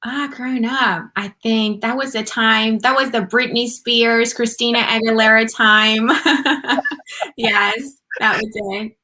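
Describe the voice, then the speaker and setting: A woman's voice: on the high side, steady tone, takes it slowly, pushed and bright. A woman from Germany, guest on an interview show, talking casually and upbeat about her marketing work. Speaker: podcast guest, marketing agency founder.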